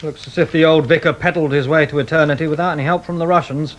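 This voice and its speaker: Sardonic British police sergeant played by an actor. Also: male voice, a little high, fairly even intonation, fast talker, dull audio, natural voice. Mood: matter-of-fact. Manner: matter-of-fact, sardonic. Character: matter-of-fact, grumbling male police sergeant. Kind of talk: British radio drama acting.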